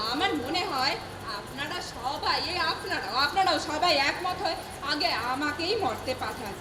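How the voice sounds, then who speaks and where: A woman playing a grasping, scheming female leader of ghosts in a stage comedy, declamatory and wheedling like a rabble-rouser. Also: very high voice. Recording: echoey noisy stage with crowd.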